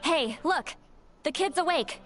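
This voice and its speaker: Very high-voiced, eager youngster. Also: female voice, lively intonation, a little slow, fairly bright tone, soft background music. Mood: cheerful welcome. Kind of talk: anime video game voice acting.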